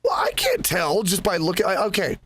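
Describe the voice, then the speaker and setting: A man's voice: sits high, wildly swooping pitch, a little fast, a bit bright, husky. A man hosting a game playthrough, chatting casually in a musing, rambling, conversational way. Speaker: host of a gaming channel.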